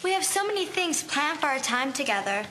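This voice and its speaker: A woman's voice: high voice, sing-song, brisk, pushed and bright, heavily acted. An actress playing a young woman, a sister, in a scripted audio drama. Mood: cheerful, reassuring. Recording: very noisy ambient recording with clicks.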